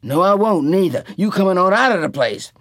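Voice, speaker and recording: A man voicing a forceful young man who insists on throwing troublemakers out of a café. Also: high voice, animated, brisk, no echo, clean studio sound, voice pushed up. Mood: commanding.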